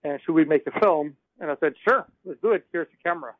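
Male director, measured hesitant delivery, slightly high voice, dry telephone sound. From Norway. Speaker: filmmaker. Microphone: phone. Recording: tinny dry phone line.

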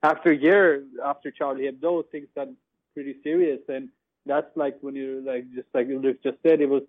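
A man's voice: medium pitch, little pitch movement, moderate pace. A man in his twenties from Norway, a journalist and director, talking casually with lots of "you know" and "like". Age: 20s. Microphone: phone. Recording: tinny phone line.

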